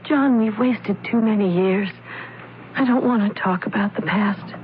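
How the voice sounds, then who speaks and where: A woman's voice: medium pitch, unhurried, natural voice. An actress playing a scheming, guilt-ridden woman who feigns sweetness, in theatrical 1970s radio drama style. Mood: sweet, pleading. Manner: theatrical, emotional. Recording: noisy, narrowband old radio broadcast.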